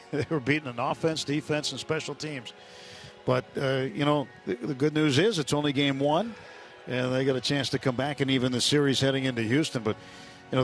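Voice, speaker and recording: A male baseball broadcaster with a mid-pitched voice, talking through the game in a measured, conversational way. He leans on statistics, and faint music sits behind him. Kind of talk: conversational sports analysis.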